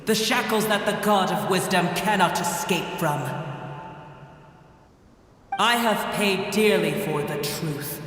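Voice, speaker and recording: An actor voicing a proud, bitter ancient dragon in a video game, speaking in a deliberate, ominous way. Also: mid-range voice, a bit bright. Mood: cold menace. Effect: cavernous echo with long reverb. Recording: heavy echo, long reverb, faint noise.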